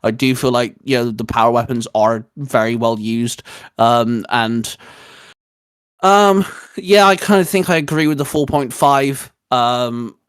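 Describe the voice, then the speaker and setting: A man's voice: mid-range voice, unhurried, fairly bright tone, clear, resonant tone, no echo. A man in his twenties chatting casually as a co-host of a geeky review show, rambling enthusiastically. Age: 20s. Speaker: co-host of a fan discussion show.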